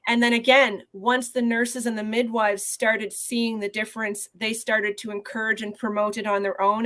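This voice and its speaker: Woman in her forties, medium pitch, earnest and measured, video-call interview guest. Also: fairly bright tone. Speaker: guest physician. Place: small indoor office. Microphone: video call webcam.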